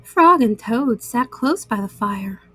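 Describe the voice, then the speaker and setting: A woman reading a storybook to young children in an expressive, soothing and reassuring manner. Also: on the high side, takes it slowly, husky, no room sound.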